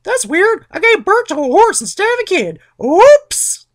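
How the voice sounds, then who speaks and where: A man voicing female goddesses in a high, exaggerated falsetto for a comic storybook-style reading. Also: very animated, a little slow, full character voice. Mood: sly. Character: shrill scheming female earth goddess.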